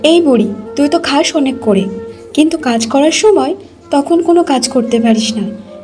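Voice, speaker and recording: Woman acting a high-voiced cruel, bossy young wife. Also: expressive, normal speed, music in the background. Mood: scornful, threatening. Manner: scolding, threatening.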